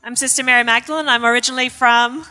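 Bright woman, echoing hall. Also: fairly high pitch, fairly even intonation, fast talker. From Louisiana, US. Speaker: religious sister. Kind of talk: casual guest talk on stage. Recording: reverberant hall with faint music.

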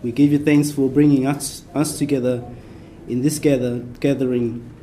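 A soft-spoken man from Vietnam, a Capuchin priest, leading a prayer workshop for a small group in a casual way and leaning on his notes. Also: mid-range voice, flat delivery, moderate pace, slight background noise, poor audio. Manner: soft-spoken, relies on notes, chatty asides. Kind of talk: informal prayer workshop talk.

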